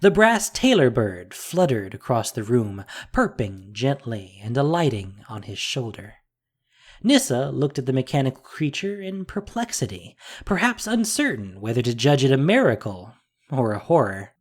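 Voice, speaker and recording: Audio drama narrator, animated intonation, mid pitch, measured storytelling, music in background. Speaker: podcast narrator and producer.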